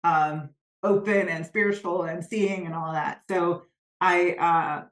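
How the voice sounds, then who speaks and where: Woman, lowish voice, earnest and reflective, roomy echoing call audio. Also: steady tone, slow pace. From Denmark. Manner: earnest, reflective, searching for words. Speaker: guest, spiritual business coach. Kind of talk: conversational interview.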